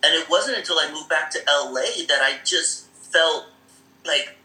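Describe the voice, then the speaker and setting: Bright-voiced man telling stories casually. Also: on the high side, measured pace, roomy sound, low-quality recording. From Seattle area. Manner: rambling, many fillers, laughs often, acts out quoted voices. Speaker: guest. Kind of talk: casual conversational storytelling.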